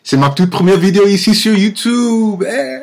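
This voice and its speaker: A man in his twenties with an American accent, a native English speaker born in Nigeria, talking casually to camera as an amateur vlogger, haltingly searching for words and correcting himself. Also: sits high, gravelly, over music. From Nigeria-born, grew up in the United States. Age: 20s. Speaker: amateur video blogger.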